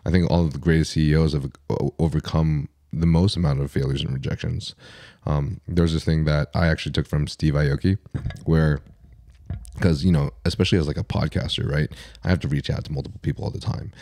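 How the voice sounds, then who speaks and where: A man's voice: extremely low pitch, fairly even intonation, fairly quick, warm tone, no echo. A young man hosting a podcast, speaking casually and in a motivational way. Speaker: podcast host.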